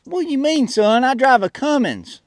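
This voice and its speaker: A man acts out a folksy country cowboy who loves to brag about hard work. His voice sits high for a man, with a Southern American drawl and a bossy, homespun way of talking. The sound is close and dry, as in a comedy skit. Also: lively intonation, normal speed, no room sound. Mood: proud, defensive. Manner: drawling, folksy, bragging, calls listener son.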